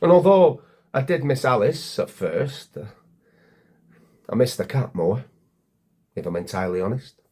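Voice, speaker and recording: An actor playing a weary, bitter, divorced former Lord Mayor in a wry, confiding comic monologue. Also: male voice, mid-range voice, very animated, unhurried, pauses often, dark tone, breathy edge. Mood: resigned, reflective.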